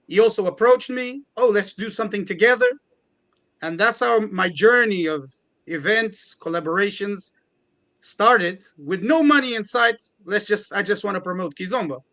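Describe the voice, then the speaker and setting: A man's voice: high-pitched, a bit bright, poor audio. A man, a DJ and event organiser, talking earnestly and reflectively in a panel discussion and often restarting phrases. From Portugal.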